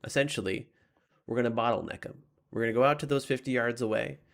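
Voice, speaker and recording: A man's voice: medium pitch, steady tone, fast, vocal fry, lightly acted. A man playing a confident military commander in a tabletop game, briefing troops in an emphatic, tactical manner. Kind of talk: in-character tabletop roleplay.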